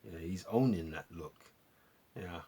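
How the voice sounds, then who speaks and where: A man hosting a vlog, chatting casually and appreciatively in a rambling, gentlemanly way as they review records. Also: low voice, measured pace, breathy edge. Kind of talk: casual album review chat to viewers.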